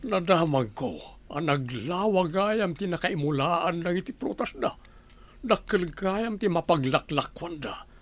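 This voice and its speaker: A man's voice: fairly high pitch, expressive, unhurried. A man with an Ilocano accent playing a plain-spoken village family man in a radio drama. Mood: grumbling.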